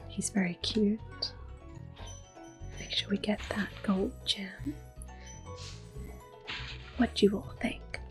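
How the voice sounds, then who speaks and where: A woman giving gentle, soft-spoken ASMR gameplay commentary in a breathy whisper. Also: medium pitch, steady tone. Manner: gentle, unhurried. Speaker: gameplay host. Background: soft game music.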